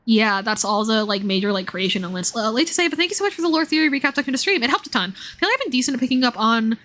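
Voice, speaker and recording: A woman doing casual livestream commentary on a game, thinking aloud in an excitable, exclamatory way with half-finished thoughts. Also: on the high side, racing. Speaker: livestream host playing a game and discussing its lore. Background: game music under the voice.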